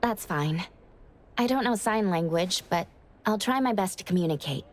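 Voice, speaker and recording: A woman playing a calm, considerate young investigator in a video game, speaking politely and professionally. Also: medium pitch, moderate pace, close to an everyday voice. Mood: calm, reassuring.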